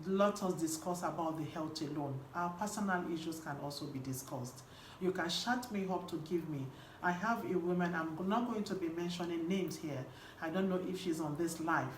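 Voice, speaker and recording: A woman with a Nigerian accent giving a live online coaching talk as host, speaking emphatically and repeating key phrases. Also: on the low side. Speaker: host and women's health coach. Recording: roomy echo with faint background noise.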